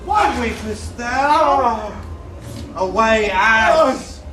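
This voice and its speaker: A man's voice: very high voice, animated, extremely slow, pushed and bright, full character voice. An actor on stage playing an impatient male servant who calls urgently and scolds a friend to hurry, in classical verse. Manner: urgent calling, exasperated scolding. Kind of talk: live theatre performance of classical verse. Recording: roomy, from far off, audible hiss.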